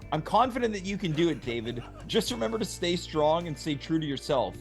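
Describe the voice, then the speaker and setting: A man reading the part of a calm, encouraging male rehab doctor in a script read. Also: a little high, a little fast, creaky, faint music behind. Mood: reassuring. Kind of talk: amateur table read.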